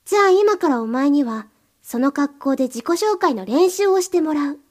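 A woman playing a very high-voiced, cold, domineering mistress. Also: animated, normal speed, darkish tone. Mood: commanding. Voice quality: commanding, contemptuous, curt orders. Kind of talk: erotic second-person audio drama.

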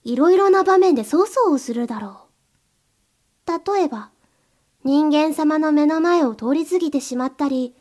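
A woman playing a very high-voiced, cold, domineering mistress. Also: animated, moderate pace, darkish tone. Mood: commanding. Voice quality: commanding, contemptuous, curt orders. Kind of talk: erotic second-person audio drama.

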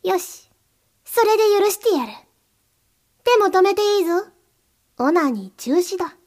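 A woman voices a cold, domineering mistress in an erotic audio drama, her voice pitched very high. She speaks in curt, contemptuous commands and addresses the listener directly as her slave. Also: heavily acted.

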